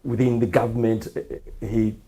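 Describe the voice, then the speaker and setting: A man from Tanzania, a guest in a conversational interview, explaining in a measured, firm way. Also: mid-range voice, flat delivery, mellow, slightly rough.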